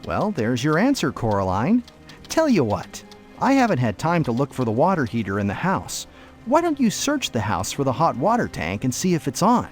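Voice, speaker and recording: Tired distracted dad in a video game, voice goes all up n down, mild and droll, music behind. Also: male voice, mid-range voice, huge pitch swings, normal speed. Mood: resigned. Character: distracted, weary father, mild and put-upon. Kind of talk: video game cutscene voice acting with tutorial instructions.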